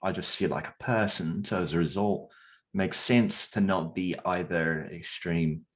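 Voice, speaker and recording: A man's voice: low voice, unhurried. Hesitant, rambling young man. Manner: hesitant, rambling with many restarts. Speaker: interviewee. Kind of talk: casual interview answers.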